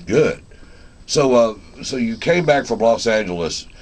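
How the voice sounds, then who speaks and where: A man's voice: medium pitch, expressive, measured pace. A man hosting a casual live radio interview in an informal, rambling, conversational way. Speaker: radio show host.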